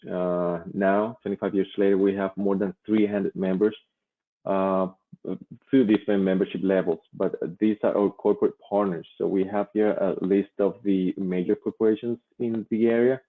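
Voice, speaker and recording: A man from Colombia, a native Spanish speaker, presenting slides at a virtual event in a halting way, pausing often. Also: sits low, flat delivery. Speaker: chamber vice president, presenter. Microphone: online video call. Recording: muffled phone-like call audio.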